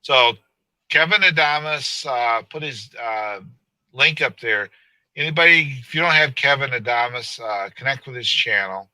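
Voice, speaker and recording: A man hosts a laid-back live stream, chatting and ribbing his friends. His voice is sharp and bright. The sound is dry and close, with no room around it. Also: mid-range voice, normal speed, no echo, poor audio. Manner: rambling, joking, laughs often. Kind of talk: casual live stream chat.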